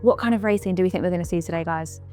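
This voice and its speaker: Woman event host, quick delivery, light background music. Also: on the low side, darkish tone. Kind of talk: presenting a competition.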